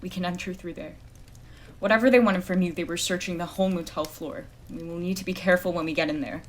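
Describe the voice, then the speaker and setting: Woman acting hard-boiled private eye, female, lowish voice, blunt, matter-of-fact, audio drama, noisy background. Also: steady tone, fast, close to an everyday voice. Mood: grim, firm.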